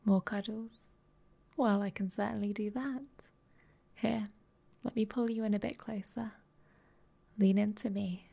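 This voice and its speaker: A woman playing a dominant, affectionate vampire girlfriend in an intimate ASMR roleplay, speaking softly and tenderly, often in a breathy whisper, close to the mic. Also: mid-range voice, steady tone. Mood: caring. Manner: slow, tender, teasing. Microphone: close microphone.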